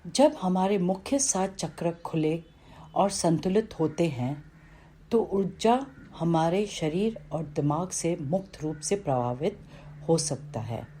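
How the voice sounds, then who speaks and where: Slow, mellow woman guiding meditation. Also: on the low side, animated, a little hiss or hum. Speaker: meditation guide. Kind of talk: guided meditation narration, read from a script.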